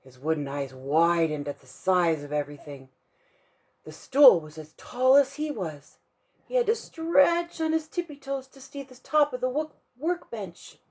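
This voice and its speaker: A woman reading a children's bedtime story aloud for a church kids' ministry, expressive and slowing down to stress key moments. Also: mid-range voice.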